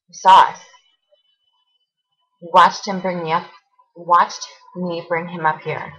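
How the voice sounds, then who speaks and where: A woman acting a hurt, accusing young woman confronting her former best friend in a halting, emotional dramatic monologue. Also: lowish voice, fairly bright tone, muffled recording. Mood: tense, confessional. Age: young adult.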